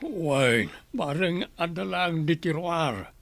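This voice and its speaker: Reproachful old dad, Ilocano accent, fairly high-pitched and animated, dry radio-play sound. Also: male voice, slow, vocal fry, dry recording, faint music behind, exaggerated voice. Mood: uneasy, explaining. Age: older. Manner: grumbling, reproachful. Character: aging father with an aching back, scolding and grumbling. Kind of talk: Ilocano radio drama acting.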